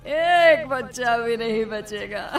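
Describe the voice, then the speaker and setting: A woman voicing a vengeful ghost witch in a cartoon story, with menacing, drawn-out, taunting delivery. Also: a little high, expressive, recorded from a distance, faint music behind. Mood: gloating menace. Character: vengeful witch, ghost of a grieving village mother. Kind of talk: dramatic Hindi cartoon story acting.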